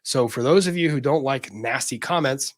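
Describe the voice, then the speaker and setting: A man's voice: mid-range voice, normal speed, no room sound, studio quality. A man co-hosting a legal commentary show, reading a lawsuit aloud dramatically and breaking in with emphatic asides.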